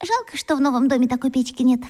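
Актриса детского радиоспектакля играет болтливую, восторженную и добрую школьницу, говорит по-детски искренне и выразительно. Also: female voice, high-pitched, quick pace. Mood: eager, dreamy. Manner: expressive, childlike, earnest. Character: chatty, eager, warm-hearted young schoolgirl. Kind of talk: children's radio play acting.

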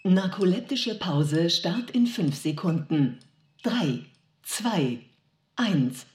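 A cold announcing machine. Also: female voice, fairly low pitch, extremely slow, husky, full character voice. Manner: flat, clipped announcements. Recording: music playing behind.

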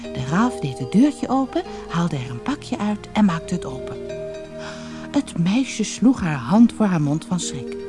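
A woman narrating a children's fairy tale as a storyteller, reading in an expressive, dramatized way. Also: fairly low pitch, unhurried, music in the background.

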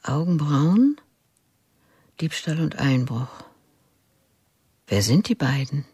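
An actress playing a detective's wife in a radio drama, speaking in a hushed, uneasy, half-whispered manner. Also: female voice, sits low, sing-song, slow pace, breathy edge, no room sound, heavily acted. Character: detective's wife, woman studying mugshots.

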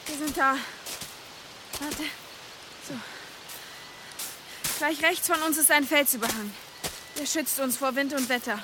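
A woman's voice: high voice, fairly even intonation, measured pace, pauses often, background noise. An actress playing a tough, resentful young woman guide in a German radio drama, curt and scornful.

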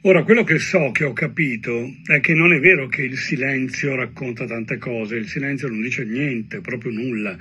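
A man, a journalist, delivering a scripted monologue with emphatic, deliberate repetition, heard from a lo-fi video playback. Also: mid-range voice, bright, forceful tone. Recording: dull lo-fi video playback, background noise.

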